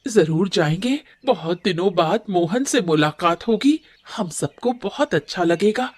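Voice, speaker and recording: A woman voicing a caring village wife in a cartoon story, gentle and encouraging. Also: lowish voice, animated, measured pace.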